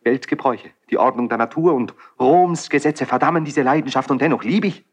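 A man acting as a passionate, tormented young crown prince in a classical radio drama. His mid-pitched voice declaims verse with raw emotion, moving between hushed brooding and outbursts. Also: fairly quick, breathy edge, lightly acted.

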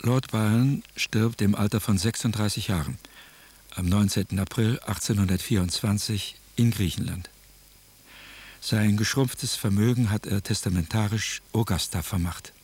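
A man's voice: fairly low pitch, moderate pace, a little mellow, husky. A man narrating a scripted radio drama in a sober, factual manner. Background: violin music.

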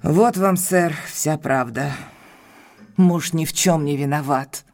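A woman's voice: low-pitched, takes it slowly, darkish tone. An actress playing a middle-aged, earnest and distraught housekeeper pleading for her family in an audio drama. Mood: sorrowful. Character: stout frightened housekeeper, loyal, pleading, sorrowful older sister. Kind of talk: Russian audio drama acting.

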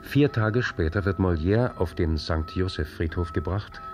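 An actor as a sober, grave narrator reading scripted radio drama narration. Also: male voice, deep voice, unhurried, over music.

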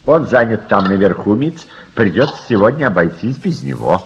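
Рассеянного пожилого дядюшку-астронома, низкий голос. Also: male voice, lowish voice, big pitch swings. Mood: flustered. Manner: preoccupied, halting, repeats words. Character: absent-minded, obsessive older uncle, amateur astronomer. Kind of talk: radio drama acting. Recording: dull, slightly noisy archive radio recording.

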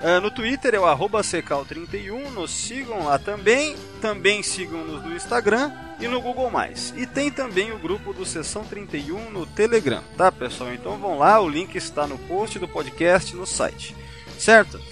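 Male podcast host, casual delivery, high register, very lively intonation. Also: moderate pace, music in the background.

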